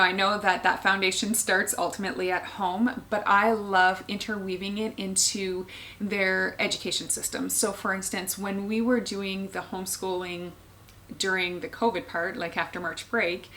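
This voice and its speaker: A woman speaks to camera in a relaxed, casual way, sounding earnest as she pauses with plenty of ums while she thinks out loud. Her pitch sits in a middling range. A faint hum of room sound stays in the background. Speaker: homeschooling mother.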